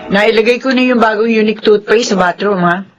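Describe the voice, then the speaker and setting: A woman's voice: mid-range voice, fairly quick, muffled recording, over loud music, lightly acted. A woman playing a friendly middle-aged mother and aunt in a Filipino radio drama. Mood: cordial.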